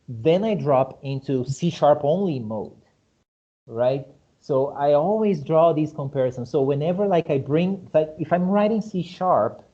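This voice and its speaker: A man from Brazil with a Brazilian Portuguese accent, whose first language is Portuguese, explaining points animatedly in a casual discussion and often asking rhetorical questions. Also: mellow. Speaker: host. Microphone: video call. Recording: muffled low-fidelity video call audio.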